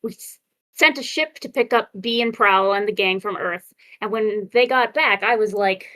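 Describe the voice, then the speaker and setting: A woman's voice: mid-range voice, moderate pace, bright, forceful tone, close to an everyday voice. A woman voicing brash, cocky robots in an amateur comic dub, with informal, punchy delivery. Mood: chatty, brisk.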